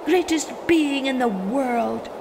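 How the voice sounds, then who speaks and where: High squeaky little father mole, eager and begging, a bit gravelly, man puts it on. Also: lively intonation, slow pace, noisy background, full character voice. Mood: pleading. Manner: eager, pleading, formal. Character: fussy proud father mole, male, small.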